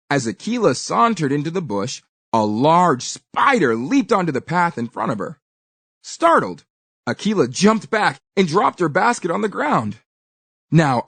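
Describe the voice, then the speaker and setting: A woman reading a children's story aloud with expressive, deliberate and dramatic emphasis. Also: deep voice, slightly rough, dry recording.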